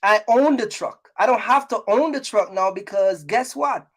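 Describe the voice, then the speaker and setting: A man's voice: high-pitched, moderate pace, a bit bright. A middle-aged man talking casually to his viewers in a vlog, emphatic and repetitive, often checking that listeners follow. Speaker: trucking business owner and content creator.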